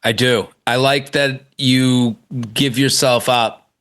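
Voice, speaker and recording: A man's voice: mid-range voice, steady tone, slow, a bit bright, clean tone. A man in his forties chatting as a podcast guest, rambling with many fillers and restarts. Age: 40s. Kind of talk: casual chat.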